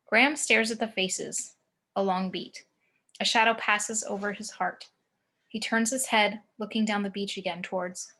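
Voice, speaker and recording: A woman's voice: medium pitch, bright, forceful tone. A woman reading scene descriptions and action lines as the narrator of a screenplay table read.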